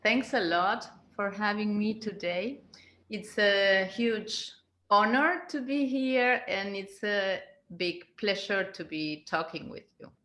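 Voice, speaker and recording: Slow-talking woman in her fifties. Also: mid-range voice, bright, forceful tone. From Argentina. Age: 50s. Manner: slow, searching, repeats words. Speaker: guest, leadership coach. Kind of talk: interview answers. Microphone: video call.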